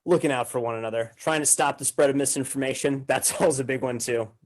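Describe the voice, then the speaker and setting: A man's voice: mid-range voice, fast talker, dry recording. A man speaking casually as a podcast guest, agreeable and affirming in his talk. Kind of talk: casual chat.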